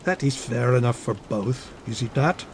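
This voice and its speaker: A man's voice: medium pitch, moderate pace, a little mellow, natural voice. Male actor as suave scheming captain, coercive villain, formal menacing delivery, audiobook drama, faint noisy ambience behind. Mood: calculating, bargaining. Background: ambience under the voice.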